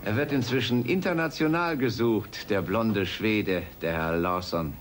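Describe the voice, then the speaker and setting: A man playing an earnest, courteous middle-aged scientist in an audio drama, speaking in a measured, formal way. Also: fairly low pitch, noisy background, lightly acted. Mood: grave, bitter. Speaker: actor in a German children's audio drama. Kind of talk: radio play acting.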